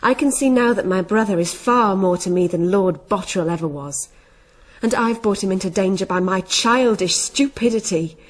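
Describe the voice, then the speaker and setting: A woman's voice: mid-range voice, normal speed, close to an everyday voice. An actress playing a headstrong, earnest young noblewoman in a radio drama. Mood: remorseful, intense.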